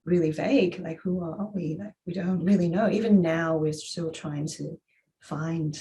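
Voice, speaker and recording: A young woman from Taiwan, a guest musician, chatting in an interview, soft-spoken and halting with many fillers and restarts. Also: lowish voice. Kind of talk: casual interview chat.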